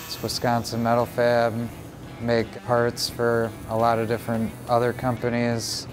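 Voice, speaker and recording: A man's voice: medium pitch, monotone, measured pace, noisy background. A young adult man who works as a welder, talking in a laid-back, plain-spoken way. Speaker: welder, graduate. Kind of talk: promotional interview. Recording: music bed underneath.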